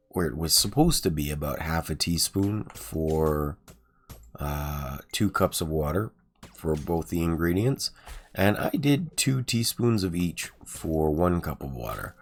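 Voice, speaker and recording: A man with a sore, raspy throat, chatting casually and rambling through let's-play commentary, with frequent ums and uhs. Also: extremely low pitch. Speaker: gaming streamer.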